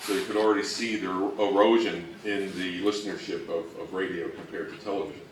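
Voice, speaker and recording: A man giving an informal, explanatory slide lecture as co-presenter, speaking conversationally and a little haltingly. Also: sits low, fairly even intonation. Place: room with echo, live presentation. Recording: echoey room from far off, faint noise.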